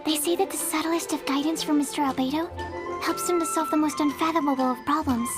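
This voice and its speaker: A woman's voice: high voice, fairly even intonation, fast, close to an everyday voice. A woman voicing a shy, nervous young female alchemy assistant in a video game, timid and apologetic. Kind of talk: video game cutscene dialogue. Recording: game cutscene with music behind. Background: game music.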